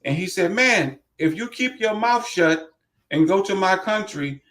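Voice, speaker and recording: A man's voice: high-pitched, animated, a little slow, fairly bright tone. A man from Los Angeles, telling stories in casual talk show chat.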